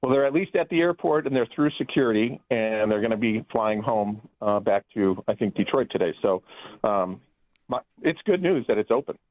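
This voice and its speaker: A man's voice: fairly low pitch, expressive, moderate pace, no room sound. A man calls into a radio show, his voice squeezed thin by the phone line. He rambles and restarts his sentences, filling gaps with "you know" and "um". The sound is dry, with no room around it. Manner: rambling, many restarts and fillers. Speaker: guest.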